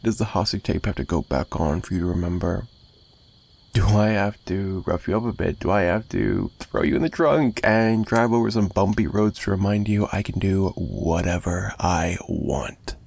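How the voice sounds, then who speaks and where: A man's voice: low voice, lively intonation, normal speed, gravelly, a little mellow, slightly rough, heavily acted. A man playing a possessive, menacing mafia boss in an ASMR roleplay, taunting the listener with theatrical mock sweetness and threats. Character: possessive, menacing young male mafia boss, obsessive kidnapper. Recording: noticeable background noise.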